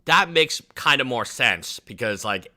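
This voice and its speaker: A man's voice: medium pitch, expressive, a little slow, bright, forceful tone. A man in his thirties whose first language is Chinese, hosting a casual reaction stream and rambling with many "like" fillers. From China. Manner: rambling, heavy use of "like" fillers. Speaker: livestream reaction host.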